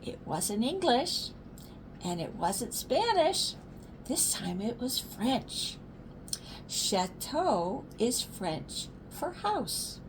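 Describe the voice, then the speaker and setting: A woman's voice: fairly high pitch, a little hiss or hum, low-quality recording. An older woman, a grandmother, reading a children's story aloud expressively and explaining words to young listeners.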